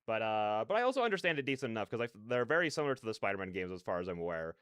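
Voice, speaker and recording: A man's voice: fairly low pitch, sing-song, very fast, fairly bright tone, smooth, no room sound. A man hosting a live stream in casual, rambling, self-deprecating chat, on a close dry mic. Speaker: streamer and host. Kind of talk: casual live-stream chat with chat replies and read-aloud game narration.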